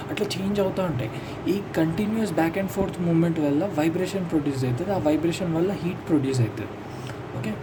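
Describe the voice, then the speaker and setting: A man with a Telugu accent giving an informal lesson as a teacher, explaining notes step by step and repeating points as if to one student. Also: a little high. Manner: explanatory, repetitive, addressing a student directly. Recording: clear steady background noise.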